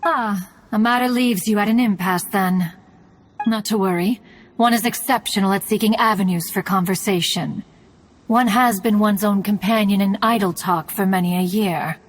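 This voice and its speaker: A woman's voice: mid-range voice, normal speed, slight background noise, natural voice. A woman voicing a haughty yet fond ancient mountain immortal in a video game, speaking in archaic, formal language. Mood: lofty, welcoming. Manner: archaic, formal, lofty speech. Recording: music playing behind.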